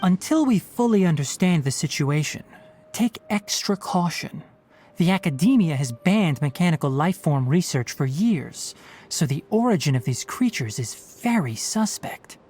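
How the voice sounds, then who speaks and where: An actor playing a young fox-eared male forest ranger and scholar in a video game, speaking in a calm, measured, earnest way. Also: on the high side, a little hiss or hum, natural voice. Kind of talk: dubbed game dialogue.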